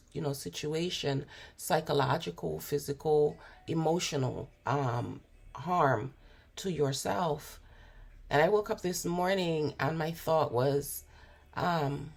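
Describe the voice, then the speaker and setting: A hoarse woman with a cold, giving a spiritual tarot reading in an unhurried, musing way with frequent pauses and fillers. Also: low-pitched, darkish tone. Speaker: tarot reader.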